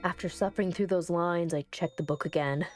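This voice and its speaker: A woman voicing an earnest, anxious summoner in a visual novel, reading in a measured, solemn way. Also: lowish voice, a little mellow, faint music behind, natural voice. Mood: careful. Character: earnest, anxious narrator summoning a ghost girlfriend.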